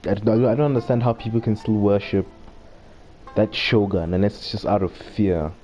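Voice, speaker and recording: A man giving casual, low-key gameplay commentary as a gaming video host. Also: fairly even intonation, moderate pace, warm tone. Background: faint game music.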